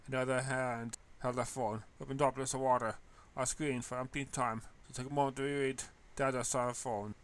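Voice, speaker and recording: A man's voice: mid-range voice, fairly bright tone. A man narrating a story in a read-aloud style. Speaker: fan fiction reader.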